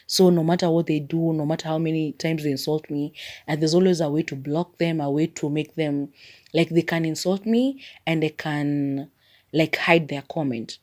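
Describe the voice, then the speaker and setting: A woman's voice: low-pitched, fairly even intonation, normal speed, darkish tone. A woman with a Kenyan accent, whose first language is Maragoli, rambling in a chatty way through a casual solo podcast as its host. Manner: chatty and rambling, leans on "like" as filler.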